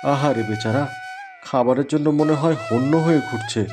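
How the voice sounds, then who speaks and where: A man voicing a kind-hearted, helpful husband in an animated moral story cartoon. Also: mid-range voice, normal speed, over music, natural voice. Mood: gentle, sympathetic. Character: kind-hearted poor tailor and helpful father mouse.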